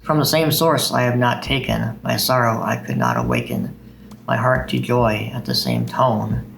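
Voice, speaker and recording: A man's voice: medium pitch, lively intonation, moderate pace, vocal fry, fairly bright tone, slight background noise, rough recording. A man hosting a video of poetry readings. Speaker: video host reading poems.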